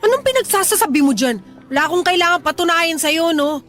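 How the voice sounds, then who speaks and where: A woman's voice: extremely high pitch, lively intonation, fairly quick, fairly bright tone, faint music behind. A woman acting a brusque, irritable tomboyish young woman in a radio drama, snapping curt, scornful retorts. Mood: annoyed.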